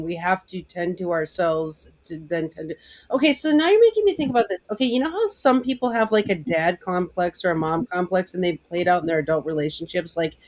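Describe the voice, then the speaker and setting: A woman's voice: fairly low pitch, darkish tone. A woman hosting a casual online talk, thinking aloud in a rambling way with many restarts. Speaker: host. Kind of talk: casual chat.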